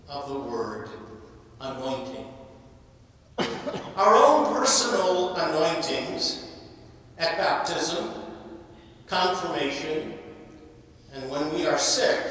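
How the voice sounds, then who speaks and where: An older man, an archbishop, preaching a sermon slowly and deliberately from a lectern microphone in a large cathedral. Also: a little high, fairly bright tone. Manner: slow, measured preaching with long pauses. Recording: echoey cathedral from far off.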